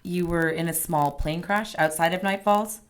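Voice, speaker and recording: A woman's voice: fairly low pitch, steady tone, moderate pace, close to an everyday voice. A woman playing a down-to-earth, sceptical ally of a detective, blunt and wry, in a radio drama.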